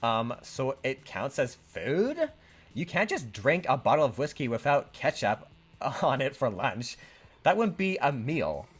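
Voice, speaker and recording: A young man chats his way through a game with friends, his voice bouncing lively up and down. He rambles and sounds unsure of himself, and he reads the on-screen story text aloud. Faint music plays underneath. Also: mid-range voice, unhurried, slightly rough. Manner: rambling, unsure, laughs a lot. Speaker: let's play host. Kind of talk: casual game commentary and reading game text aloud.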